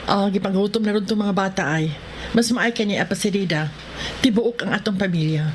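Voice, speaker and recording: A woman's voice: medium pitch, normal speed, lightly acted. A woman playing an attentive, fussing mother and wife in a radio drama. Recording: clearly noisy radio drama track.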